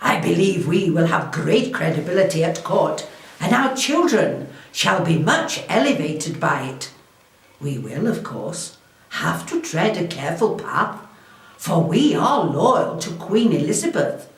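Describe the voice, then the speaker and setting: A woman playing a proud, shrewd, wealthy Elizabethan noblewoman, delivering a formal, theatrical first-person historical monologue in a deliberate way. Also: on the low side, very animated. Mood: proud, gracious. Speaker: volunteer historical re-enactor. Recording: echoey room, distant microphone.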